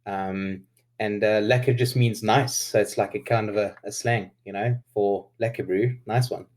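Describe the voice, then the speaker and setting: A South African man in his thirties whose first language is Afrikaans talks easily as a podcast guest. He is relaxed and laughs often, with plenty of ums as he explains himself. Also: fairly low pitch, normal speed. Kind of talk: casual interview chat.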